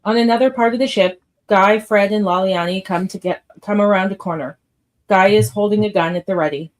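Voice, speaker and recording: A woman's voice: medium pitch, brisk. A woman reading stage directions as narrator for a live radio play script read.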